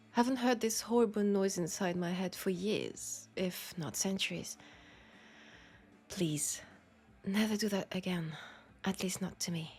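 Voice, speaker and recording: A woman with a French accent voicing a centuries-old, wry and world-weary female vampire in an intimate audio roleplay, softly and breathily. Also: medium pitch, slow pace, pauses often. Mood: wry, scolding. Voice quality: breathy, soft, slipping into whispers.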